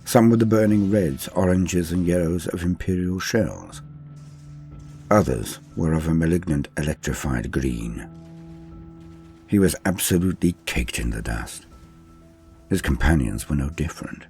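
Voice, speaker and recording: A man's voice: low voice, animated, a little slow, a little mellow, faint music behind. A man giving a solemn, theatrical dramatic reading of a science fiction war story as its narrator.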